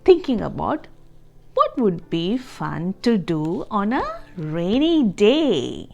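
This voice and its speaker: A woman reading a picture book aloud for young children in an expressive, deliberate way, stressing key words and adding explanatory asides and questions. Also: medium pitch, creaky, dull audio, background noise. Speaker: children's storybook reader.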